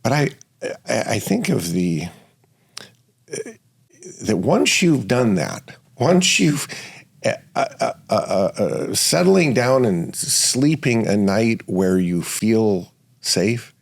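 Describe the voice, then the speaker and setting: A man hosting a podcast interview, talking in a reflective, halting way with many restarts and fillers. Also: fairly low pitch, big pitch swings, vocal fry. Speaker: podcast host. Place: Seattle area studio.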